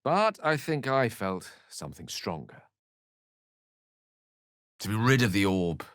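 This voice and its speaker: A learned male wizard from a fantasy video game, speaks in a slightly low voice that swoops expressively. His phrasing is wordy and theatrical, witty yet earnest, with a melancholic streak. The recording is close and dry. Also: sing-song, takes it slowly, a bit bright, no echo, full character voice. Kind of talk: video game character voice lines.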